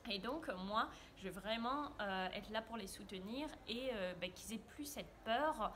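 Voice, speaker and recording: A woman's voice: on the high side, lively intonation, measured pace, a bit bright. Earnest French woman, hesitant, noisy background. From France. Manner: hesitant, many filler sounds, earnest. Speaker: interviewee, private French tutor. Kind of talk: casual interview answers.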